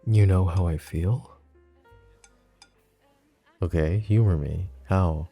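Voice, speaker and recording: A possessive mafia boss with a very low, gravelly voice and a dark, mellow tone, as a man acts him out. He teases with smug ease and turns cold when he threatens. Faint music sits under this audio drama. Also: takes it slowly, heavily acted. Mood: intimate. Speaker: voice actor. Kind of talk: ASMR roleplay audio drama.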